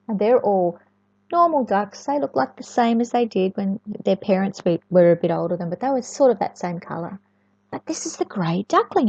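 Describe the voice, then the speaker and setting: A woman's voice: on the high side, darkish tone, no echo, dull audio. A woman telling a picture-book story to young children, with chatty asides and words repeated for emphasis.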